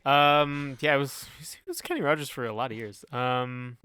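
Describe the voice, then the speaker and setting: Bright, clear-voiced man chatting casually. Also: medium pitch, normal speed. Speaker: podcast co-host. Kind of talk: casual music discussion chat.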